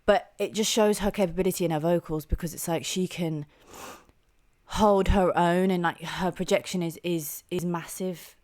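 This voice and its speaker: A young woman hosting a music reaction channel, chatting casually and enthusiastically with a soft voice and lots of "like" and "um". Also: fairly low pitch, steady tone, normal speed. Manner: chatty and enthusiastic, heavy use of "like" and "um".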